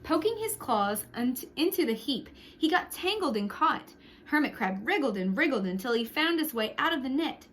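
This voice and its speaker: A woman reading a picture book aloud to young children, expressively, with short hushed asides to the listeners. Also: high-pitched, moderate pace, a little hiss or hum. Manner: expressive, with hushed whispered sound words and asides. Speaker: storytime reader for young children.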